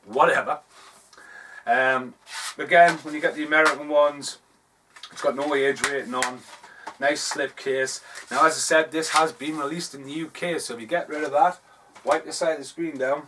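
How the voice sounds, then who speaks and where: A man's voice: fairly high pitch, steady tone, normal speed, noisy background. Geordie guy rambling about his dvds, bit far off the mic, keeps correcting himself. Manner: rambling, offhand, self-correcting. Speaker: film reviewer. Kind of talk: casual video review, showing DVD covers.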